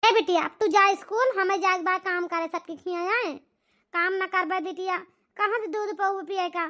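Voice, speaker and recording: औरत की आवाज़ में तीखी ऊँची आवाज़ वाली अवधी माँ. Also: female voice, extremely high pitch, moderate pace, sharp tone. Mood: tired, coaxing. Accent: Awadhi. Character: village mother, hardworking, patient, coaxing her young daughter. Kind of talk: homemade cartoon comedy dialogue.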